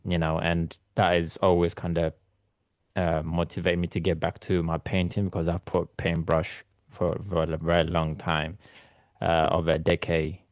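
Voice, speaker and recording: Brief: man in his thirties, very low and gravelly, flat delivery, rambling guest with many ums, dry sound. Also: no room sound. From born in Ethiopia, raised in London. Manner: rambling, many ums and restarts, soft laughs. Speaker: guest photographer. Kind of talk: casual interview chat. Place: remote call from London.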